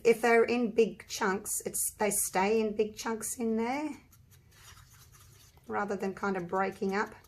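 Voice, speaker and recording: An Australian woman with a croaky, husky voice, chatting casually as she walks viewers through a resin craft step by step. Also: mid-range voice, rough recording. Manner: chatty, rambling, talks through each step. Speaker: resin craft channel host. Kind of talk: casual craft tutorial talk.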